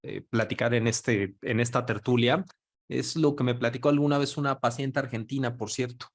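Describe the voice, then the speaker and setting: A man's voice: medium pitch, normal speed. Man telling a story. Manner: storytelling, quotes others in lively voices. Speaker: panelist. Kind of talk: conversational talk in an online panel.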